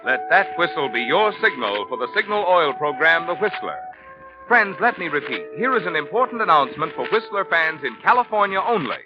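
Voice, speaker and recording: A man's voice: on the high side, sing-song, a little fast. A man working as a radio announcer, reading a script in a formal, emphatic 1940s broadcast style. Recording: dull vintage radio broadcast, loud music.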